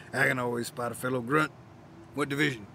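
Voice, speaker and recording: A man playing a weary, proud old war veteran, gruff, wry and folksy, in an audio drama. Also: mid-range voice, fairly even intonation, moderate pace, bright, forceful tone, slight background noise. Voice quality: gravelly. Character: weary, proud old male Vietnam veteran down on his luck.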